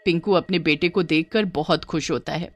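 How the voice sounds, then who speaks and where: A woman's voice: low voice, normal speed, no room sound, faint music behind. A woman narrating a scripted children's horror story.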